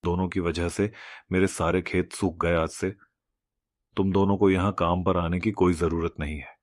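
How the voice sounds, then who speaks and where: Cartoon villain: stingy, stubborn rich landowner, played by a man, low-pitched, harsh, bossy, close dry sound. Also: level tone, moderate pace, no echo, lightly acted. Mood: angry, dismissive. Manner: harsh, scolding, domineering. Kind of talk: Hindi moral cartoon story acting.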